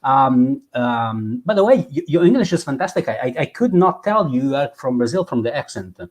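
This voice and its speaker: A man's voice: mid-range voice, big pitch swings, normal speed. A man hosting a casual interview, chatty and self-deprecating, often stumbling over his words. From Italy. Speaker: host.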